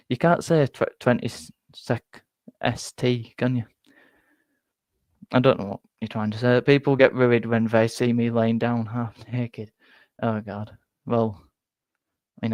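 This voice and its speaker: Young Yorkshire bloke, slightly nasal, rambling live-stream chatter, mid pitch, dry close mic. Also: male voice, no room sound. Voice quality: bit nasal, flat. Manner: rambling, self-deprecating, stumbles and repeats words. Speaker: football fan streamer reading chat. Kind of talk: casual live-stream chat.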